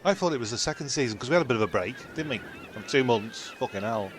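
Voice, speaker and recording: A man's voice: mid-range voice, lively intonation, fast, slight background noise, close to an everyday voice. A man playing an irritable, cheeky, foul-mouthed castaway in a comedy radio play. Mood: impatient. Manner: blunt, swearing.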